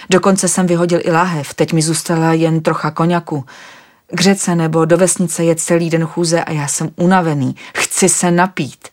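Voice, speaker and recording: An agitated, weary man , voiced by a woman reading a story, who demands answers loudly and sounds indignant. The tone stays low and slightly husky. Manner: loud, exasperated questioning.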